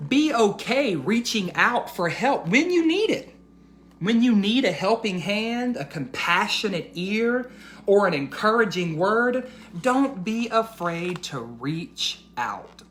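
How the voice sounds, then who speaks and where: A man hosting a read-aloud for children, speaking directly to young listeners with deliberate, emphatic phrasing. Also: high voice, big pitch swings, a bit bright, slight background noise.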